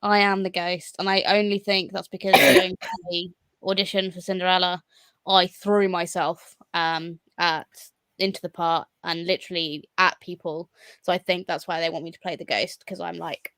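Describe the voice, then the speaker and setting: A tired young woman co-hosting a livestream, her voice a little low and close. The room sound is dry, and she makes quick, understated remarks. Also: flat delivery, fairly bright tone, smooth, no echo. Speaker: co-host, flatmate. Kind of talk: casual chat.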